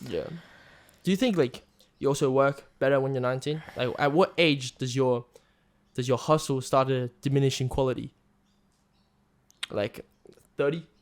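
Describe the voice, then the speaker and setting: A young man co-hosting a podcast, chatting casually, relaxed and curious, with lots of "like"s. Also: medium pitch, normal speed. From Australia. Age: young adult. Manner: asks questions, relaxed, heavy use of "like". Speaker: podcast co-host. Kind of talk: casual chat.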